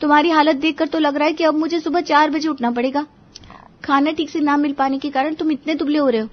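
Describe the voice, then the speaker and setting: A woman's voice: high-pitched, fairly even intonation, quick pace, dull audio, voice pushed up, lightly acted. A woman voicing a frustrated young daughter-in-law in a story drama, complaining with exasperation. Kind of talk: Hindi moral-story audio drama.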